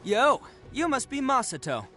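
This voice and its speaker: A man's voice: very high voice, big pitch swings, moderate pace, sharp tone, soft background music, heavily acted. A man voicing a brash, big-hearted young oni in a video game, with a slangy, theatrical, swaggering delivery. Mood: casual, reassuring.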